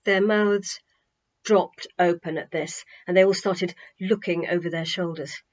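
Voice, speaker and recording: Expressive woman storyteller, slightly dull sound. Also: fairly low pitch, measured pace. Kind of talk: expressive children's story narration. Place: home. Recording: slightly dull home recording.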